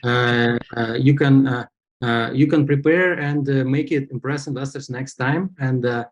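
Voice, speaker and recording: A man hosting an online pitch event informally, speaking in an encouraging and somewhat hesitant manner. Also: steady tone, normal speed, dull audio. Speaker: event host.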